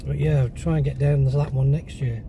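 A man's voice: mid-range voice, fairly even intonation, a little fast, vocal fry. A man, chatting casually to viewers from his moving car, rambling with lots of ums and uhs. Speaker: car channel host. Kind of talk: casual chat while driving. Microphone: phone camera on dashboard. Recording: loud road noise in car. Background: engine and road noise.

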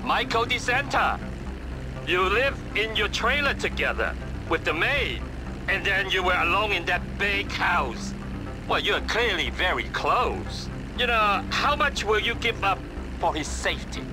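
An older video game crime lord. His voice is fairly high and moves up and down a lot. He is formal and courteous, with plain menace underneath, and music plays behind him. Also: very animated, a little slow, poor audio. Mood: threatening. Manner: formal, polite, threatening. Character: calm, menacing older Chinese male crime boss. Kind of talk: video game cutscene acting.

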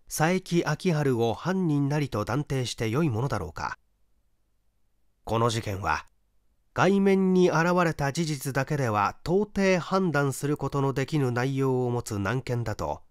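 A male narrator reads literary mystery fiction with dramatic, measured phrasing. His medium-pitched voice moves widely up and down. The recording is dry, with hardly any room sound. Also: dry recording. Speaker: audiobook narrator, stage actor. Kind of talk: scripted narration of a mystery short story.

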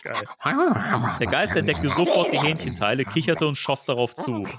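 A man's voice: on the high side, animated, brisk, a bit bright, a little hiss or hum. A man with a German accent, co-hosting a podcast, reading a story playfully with dramatic emphasis and joking asides. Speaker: podcast co-host reading a film novel aloud.

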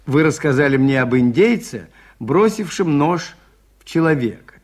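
An actor in a 1980s Soviet radio drama playing a shrewd, mild priest detective who explains things in a measured, polite way. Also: male voice, a little high, expressive, a little mellow. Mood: calm explaining.

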